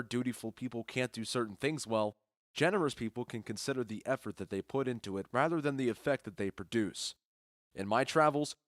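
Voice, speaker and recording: A man's voice: mid-range voice, dry recording, studio quality, lightly acted. A man playing a confident, genial Athenian duke in a modernized Shakespeare audio drama, speaking in a self-assured, declamatory way.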